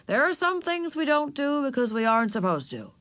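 A woman's voice: high voice, very animated, a little fast, fairly bright tone, dry recording, pitched above the performer's own voice. Woman as a reasonable, mildly stern father. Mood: amused. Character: stern but easily amused, reasonable father.

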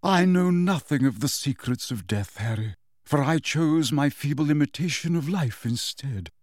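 A man voicing a melancholy, gentle ghost of a wizard in a storybook reading, speaking slowly and hesitantly in formal phrases. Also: on the high side, lively intonation, no room sound, studio quality. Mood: gentle, sad.

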